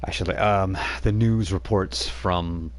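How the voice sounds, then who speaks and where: A man's voice: low-pitched, fairly even intonation, a little slow. A man running a tabletop role-playing game on a live stream, rambling with frequent restarts as he narrates. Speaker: game master.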